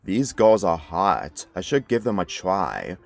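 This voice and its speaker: Man voicing a surly teenage biker girl, curt, dismissive loner, low pitch, storybook dialogue, music behind. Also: big pitch swings, takes it slowly, vocal fry, exaggerated voice. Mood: intrigued. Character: surly, impatient eighteen-year-old woman biker, a loner. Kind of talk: character dialogue in a story reading.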